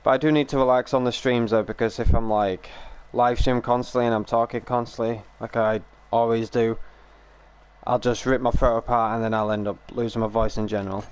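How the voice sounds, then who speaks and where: A man's voice: medium pitch, little pitch movement, a little fast, clear, resonant tone. A young man chatting casually on a live stream, rambling and grumbling, his voice a little strained from a recovering sore throat. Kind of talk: casual live stream chat while gaming.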